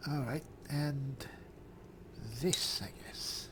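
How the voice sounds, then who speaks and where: A man voicing a cool, dry high elf spellsword, musing formally as he roleplays through a fantasy game. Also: fairly even intonation, very noisy. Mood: pensive, muttering. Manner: measured, formal, thinking aloud.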